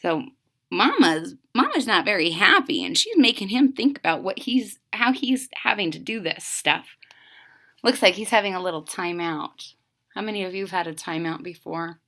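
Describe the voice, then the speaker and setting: Animated, bright-voiced woman reading to children. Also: medium pitch, normal speed. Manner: addresses young listeners directly with questions and asides. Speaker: storytime reader. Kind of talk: interactive children's read-aloud.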